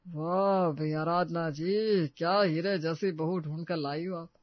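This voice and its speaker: A man acting an older father-in-law, genial and calming, in a family story. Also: fairly high pitch, slow, no echo, lo-fi sound. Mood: admiring. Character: older father-in-law, easygoing, soothing husband.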